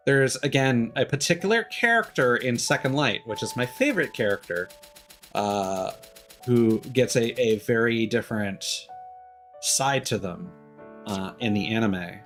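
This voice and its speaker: A man doing casual live game commentary as a streamer, thinking aloud in a rambling, halting way. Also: mid-range voice, lively intonation, fairly bright tone. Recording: music behind the voice. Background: game music.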